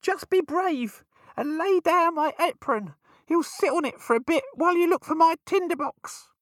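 A man's voice: moderate pace, fairly bright tone, no room sound, clean studio sound. A man doing a wailing scheming old woman. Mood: urging. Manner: high wailing, slightly annoying.

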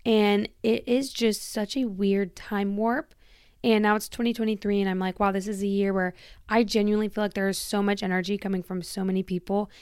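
Woman, podcast host, casual solo chat; candid and rambly, slightly tired, smooth clean voice on a close, dry mic. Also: mid-range voice, fairly even intonation, fairly quick, a little mellow, no echo. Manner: chatty and candid, heavy use of "like", self-interrupting. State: slightly tired, recovering from a long illness.

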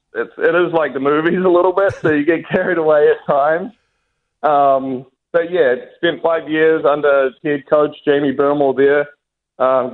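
A young man from New Zealand with a New Zealand accent, a golfer answering interview questions casually, with many fillers and restarts. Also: on the high side. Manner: hesitant, many fillers and restarts, laughs easily. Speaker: professional golfer, guest. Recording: tinny phone line.

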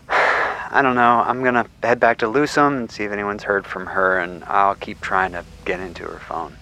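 An actor playing an anxious, caring friend in an audio drama, speaking casually and haltingly with worry. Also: male voice, fairly low pitch, steady tone, bright, forceful tone, low-quality recording. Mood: worried. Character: anxious caring male friend searching for someone.

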